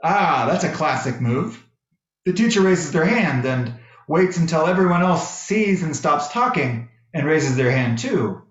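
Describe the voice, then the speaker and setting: A middle-aged American man giving a sermon as a minister, telling personal stories deliberately, phrase by phrase. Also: a little high, animated. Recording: roomy, echoey, slightly dull sound.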